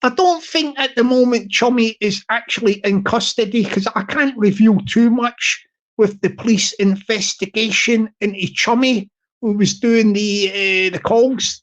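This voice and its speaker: A man with a high-pitched tenor voice, talking casually on his livestream and repeating his phrases as he rambles. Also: animated, measured pace, a bit bright. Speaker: YouTuber livestream host.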